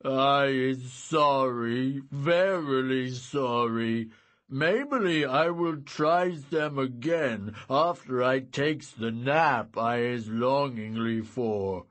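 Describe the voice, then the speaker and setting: A man voicing a gentle, simple-minded giant who is glum and apologetic, speaking in laboured, broken grammar in an audiobook story. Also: mid-range voice. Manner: drawn-out, laboured delivery with quaint broken grammar.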